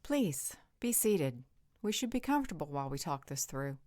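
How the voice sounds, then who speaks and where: A woman's voice: sits low, sing-song, moderate pace, darkish tone, studio quality. A woman playing a composed, firm peacemaker in a supernatural audio roleplay drama. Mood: courteous. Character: calm, firm female peacemaker among vampires and werewolves. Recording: echoey hall with long reverb.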